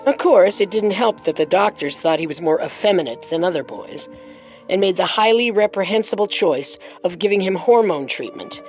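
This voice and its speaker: A woman's voice: mid-range voice, animated, normal speed, darkish tone, soft background music. A woman co-hosting a podcast, reading scripted true-crime narration with dramatic storytelling and wry touches.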